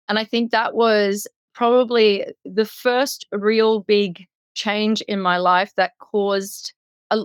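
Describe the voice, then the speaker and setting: A middle-aged woman from Singapore shares her life story as a podcast guest. She is articulate and reflective, with an average-pitched voice. The recording is dry, with no room sound. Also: smooth, dry recording. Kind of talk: personal storytelling in an interview.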